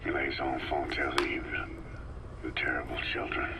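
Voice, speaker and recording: A weary, hardened older commander with a rock-bottom low pitch. He talks in terse, gruff, guarded bursts, a video game character on a clearly noisy tape recording. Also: flat delivery, normal speed. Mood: dry, brooding. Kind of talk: scripted video game voice acting on a cassette tape.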